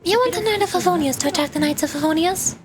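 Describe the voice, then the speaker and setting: A woman's voice: very high voice, quick pace, music in the background, pitched above the performer's own voice. A woman voicing a proud, principled young noblewoman knight in a video game story, formal and firm. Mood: defiant.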